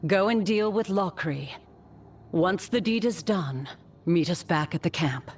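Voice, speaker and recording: An actress playing a composed, authoritative rogue leader in a fantasy game, formal, measured and commanding. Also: female voice, lowish voice, lively intonation, slightly rough, far from the mic. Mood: grave, resolute.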